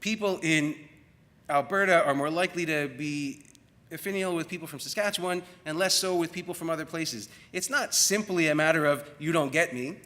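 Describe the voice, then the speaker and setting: A Canadian man in middle age gives an academic talk in a reverberant hall. His voice is fairly high and bright. He is reflective and rhetorical, leaning on questions and repeated phrases. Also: normal speed. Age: middle-aged. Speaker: university lecturer in media studies. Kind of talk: public academic lecture. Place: large room at a university colloquium. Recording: echoey hall with long reverb.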